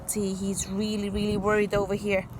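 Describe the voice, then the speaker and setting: A woman's voice: mid-range voice, completely flat delivery, normal speed, noisy background. A woman teacher reading a picture book aloud, adding simple explanations for young children.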